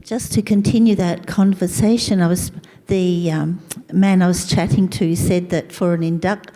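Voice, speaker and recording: A woman's voice: fairly low pitch, fairly even intonation, moderate pace, warm tone, in a large hall. A woman with an Australian accent at a panel event, speaking hesitantly with many fillers.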